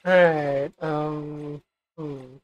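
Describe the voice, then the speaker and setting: A woman's voice: sits low, fairly even intonation, very slow, no echo, muffled recording. A woman doing a casual game playthrough, reading on-screen text aloud and commenting. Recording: voice over game music. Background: game music.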